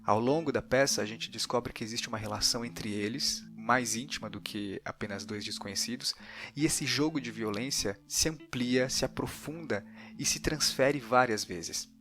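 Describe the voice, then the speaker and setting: A man's voice: medium pitch, normal speed, over music. A man with a Brazilian accent narrating a scripted podcast as its host.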